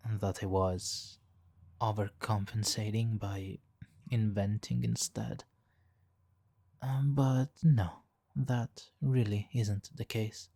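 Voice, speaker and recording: A man playing a smug, flirtatious supervillain in an ASMR roleplay, teasing and mock-polite, with savoring pauses. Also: fairly low pitch, fairly even intonation, gravelly, warm tone, no echo, exaggerated voice. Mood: teasing, confiding. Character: smug, flirtatious male supervillain toying with a captured hero. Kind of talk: ASMR roleplay, slow intimate monologue. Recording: faint background noise.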